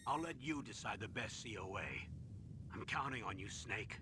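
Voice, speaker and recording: An actor playing a stern, steady older military commander in a video game, with a measured, authoritative delivery. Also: male voice, close to an everyday voice. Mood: firm. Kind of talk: scripted video game voice acting. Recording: clearly noisy game audio with low rumble.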